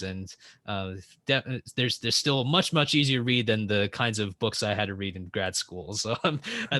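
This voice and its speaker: A man's voice: lowish voice, bright, forceful tone, no echo. A young man speaking as a podcast guest in casual conversation, rambling with many restarts and fillers.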